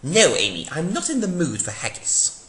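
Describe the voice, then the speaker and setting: A man's voice: a little high, wildly swooping pitch, moderate pace, fairly bright tone, a little hiss or hum. A man voicing a solemn, pompous time-travelling hero in a dramatic reading, with stilted, declamatory delivery. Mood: disdainful. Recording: echoey room.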